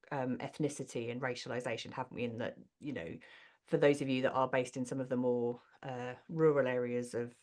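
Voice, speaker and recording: Coach leading a group session. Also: female voice, low-pitched. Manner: warm affirming, asks questions of the group. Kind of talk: online workshop facilitation.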